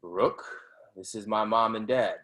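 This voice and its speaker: A man's voice: fairly low pitch, measured pace, a bit bright. A man playing an exasperated, defensive college-age son in a play reading. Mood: flustered. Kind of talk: readers theatre play reading.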